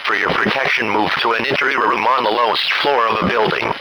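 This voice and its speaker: A man reading a formal emergency weather warning in a flat, mechanical, list-like delivery. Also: mid-range voice, brisk, a loud crowd, poor audio. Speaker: weather alert announcer.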